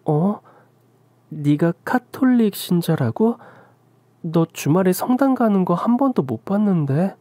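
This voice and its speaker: Woman voicing trusting young wife, earnest, plain, lively pitch. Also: a little slow, dry recording. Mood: puzzled.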